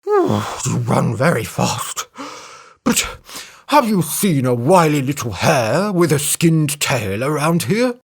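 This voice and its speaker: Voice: medium pitch, sing-song, slow pace, creaky, husky, full character voice. A storyteller voicing a big, strong male elephant who blusters and threatens, then turns falsely polite.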